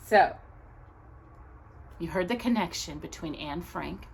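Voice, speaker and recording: A woman reading aloud as a storytime host, speaking earnestly and deliberately to young viewers, phrase by phrase. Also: lowish voice, expressive, gravelly, slight background noise.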